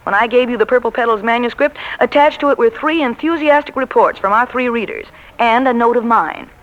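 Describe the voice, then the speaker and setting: An actress playing a sharp-tongued, self-assured secretary, pert and precise, in a 1940s radio comedy. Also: female voice, fairly high pitch, brisk, close to an everyday voice. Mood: needling. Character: sharp-tongued, self-assured female secretary who corrects her boss. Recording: dull, lo-fi 1940s radio recording.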